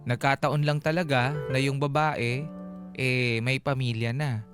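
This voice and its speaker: A man's voice: medium pitch, steady tone, unhurried. A Filipino man hosting a radio advice show, speaking frankly and conversationally in a mix of Tagalog and English. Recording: music playing behind the voice. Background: background music.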